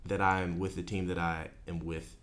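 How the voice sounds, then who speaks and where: A twenty-two-year-old man speaks in a very deep voice. He co-hosts a casual podcast and talks hesitantly, with lots of fillers. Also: level tone, normal speed, a bit bright. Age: 20s. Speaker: podcast co-host. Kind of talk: casual chat.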